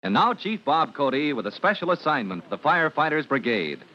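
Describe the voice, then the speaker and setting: A man's voice: a little high, very animated, a little fast, bright, forceful tone. A man announcing an old-time radio serial, reading scripted narration in a dramatic, emphatic manner. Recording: dull vintage radio recording.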